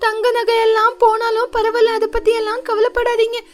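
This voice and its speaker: Woman putting on a very shrill, kindly little bird. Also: completely flat delivery, brisk. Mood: comforting. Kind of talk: children's moral story cartoon voice acting.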